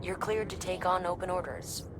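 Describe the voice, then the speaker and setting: An actress playing an encouraging, informal but businesslike logistics guide in a video game. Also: female voice, fairly low pitch, normal speed, background noise, natural voice. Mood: congratulatory.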